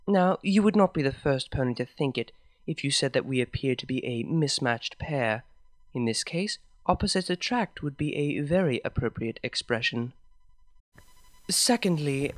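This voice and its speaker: A reader telling a fan story aloud in the first person, in a formal, measured way. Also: mid-range voice.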